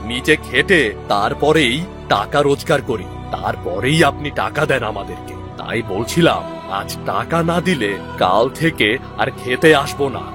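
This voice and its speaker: A man's voice: mid-range voice, lively intonation, measured pace, over music, close to an everyday voice. A man playing a timid, honest young village labourer in a cartoon, hesitant and pleading as he talks. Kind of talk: Bengali fairy-tale cartoon dubbing.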